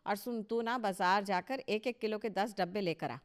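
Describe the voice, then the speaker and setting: A woman's voice: mid-range voice, moderate pace, smooth, lightly acted. Woman acting a proud, bossy older mother-in-law. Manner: boastful, scolding, emphatic. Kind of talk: Hindi cartoon story dialogue.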